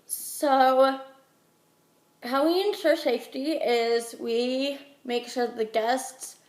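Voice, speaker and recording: A woman's voice: sits high, fairly even intonation, drawn-out words, a little mellow. Young American woman in an echoey room. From Utah, United States. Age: young adult. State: getting over a head cold. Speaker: job applicant answering interview questions. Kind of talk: informal phone interview answers. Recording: roomy echo.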